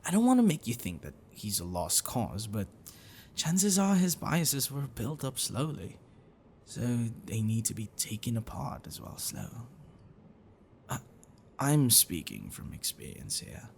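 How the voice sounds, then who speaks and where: A man playing a gentle, bookish half-dragon boyfriend in an intimate ASMR roleplay, affectionate and apologetic, speaking haltingly and softly. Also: medium pitch, wildly swooping pitch, vocal fry, slight background noise, full character voice. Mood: regretful, tender. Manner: halting, soft chuckles and sighs, dropping to whispers.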